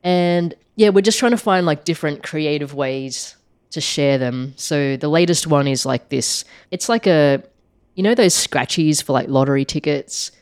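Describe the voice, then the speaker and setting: A woman who is sick and sounds croaky, chatting casually as a podcast co-host, with many "like" fillers. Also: low voice, normal speed, a little mellow, no room sound, studio quality. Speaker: podcast co-host, app maker. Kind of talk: casual chat.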